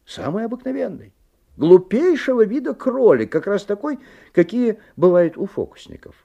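An actor playing a wary, observant father who narrates a strange tale expressively, with theatrical pauses. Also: high voice, sing-song, vocal fry, mellow, lo-fi sound, exaggerated voice. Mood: wondering, uneasy. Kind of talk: dramatic literary narration. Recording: 1970s audio play, music behind.

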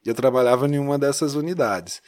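A man's voice: medium pitch, quick pace, darkish tone. A man from Minas Gerais with a Mineiro accent, telling his story casually as a podcast guest, laughing often and tagging lines with "né?". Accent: Mineiro Brazilian Portuguese. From Minas Gerais, Brazil. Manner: chatty, laughs often, many "né?" tags. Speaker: church youth leader, podcast guest.

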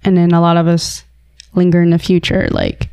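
A woman hosts a relaxed talk, asking her guest questions and musing out loud. Her voice is smooth and fairly low. The recording is dry, with no room sound. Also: normal speed, no echo. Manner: reflective, interviewer asking questions. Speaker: host. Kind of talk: casual conversation.

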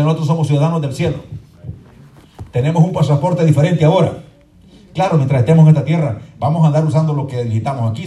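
Give me a high-voiced pastor preaching, echoing from afar. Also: male voice. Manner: fervent preaching that swings between quiet explaining and shouted proclamation; often asks the congregation "¿verdad?". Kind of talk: sermon. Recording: echoey room from far off with faint crowd noise.

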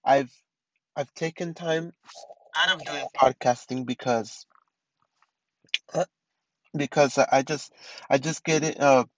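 A man's voice: medium pitch, slow pace, long pauses, muffled recording, slight background noise. A man in his twenties hosting a casual solo podcast, rambling with many restarts and fillers. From East Los Angeles. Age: 20s. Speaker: podcast host.

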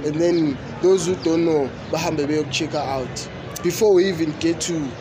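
A man hosting a casual podcast chat, animated and mixing English with isiZulu slang, recorded outdoors. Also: a little high, moderate pace, background noise. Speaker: podcast host.

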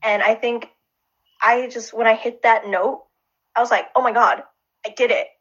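A woman who is a finalist guest, chatting excitedly in a casual way. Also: a little high, normal speed, slightly rough, dull audio. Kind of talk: casual chat.

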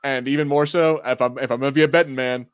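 A man's voice: medium pitch, fairly even intonation, quick pace, smooth, no room sound. A man co-hosting a podcast in casual, slangy chat, joking and rambling with some swearing. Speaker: podcast co-host. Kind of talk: casual chat reviewing a TV show and reading live chat.

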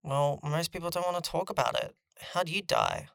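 Female actor for world-weary young male survivor, fantasy audio drama; practical, matter-of-fact, very low with vocal fry. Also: huge pitch swings, moderate pace, fairly bright tone, no room sound, full character voice. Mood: guarded. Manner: terse, matter-of-fact, dry.

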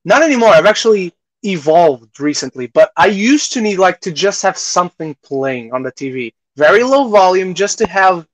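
A man's voice: high voice, very animated, normal speed, no room sound, rough recording. A man co-hosting a podcast, chatting casually about movies in a conversational, storytelling way. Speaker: podcast co-host.

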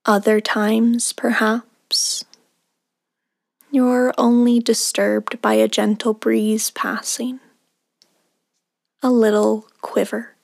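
A woman guiding a meditation in a soothing, unhurried manner, leaving long pauses between short phrases, with soft, breathy endings. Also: fairly high pitch, little pitch movement, dry recording, studio quality. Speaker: meditation guide. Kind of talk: guided meditation narration.

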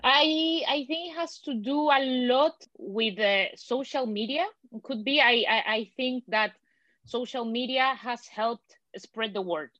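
Chilean woman, guest, hesitant with many restarts, fairly high voice, dry dull lo-fi sound. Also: measured pace, fairly bright tone, dry recording. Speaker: podcast guest. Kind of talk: casual interview answers.

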